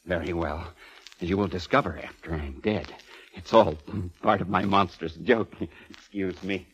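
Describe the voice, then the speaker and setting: An actor playing an eccentric, gleefully morbid ageing composer in a 1940s radio drama, with a flamboyant, florid manner. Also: male voice, low-pitched, a little slow, slightly rough. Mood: elated, morbid. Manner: flamboyant, effusive, chuckling, florid. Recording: muffled vintage 1940s radio recording.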